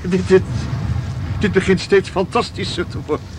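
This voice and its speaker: A man's voice: high-pitched, steady tone, noisy background, lightly acted. An actor in a Dutch audio drama playing a bewildered, hesitant man who stammers and can hardly believe things. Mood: amazed. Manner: stammering, halting, incredulous. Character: bewildered, hesitant male visitor struggling to believe.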